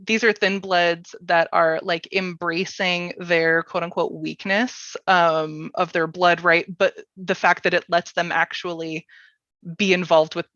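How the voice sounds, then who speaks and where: A woman's voice: on the low side, flat delivery, measured pace, fairly bright tone, dry recording. A woman hosting a casual storytelling workshop, playful and enthusiastic, on a close but dull-sounding microphone. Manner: playful, joking, enthusiastic about the material. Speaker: workshop host and presenter.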